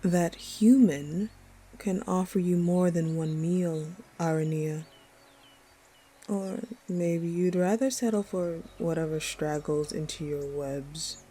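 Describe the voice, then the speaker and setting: A woman playing a commanding, protective snake woman, dominant yet fond, speaking with measured authority in a fantasy audio roleplay. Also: on the low side, warm tone, slightly rough. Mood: stern. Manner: measured, authoritative, fond toward her human.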